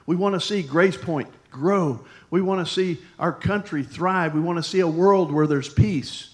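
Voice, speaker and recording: A man, a pastor, preaching a sermon in a conversational, storytelling way with lively asides to the congregation. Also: high voice, a little slow.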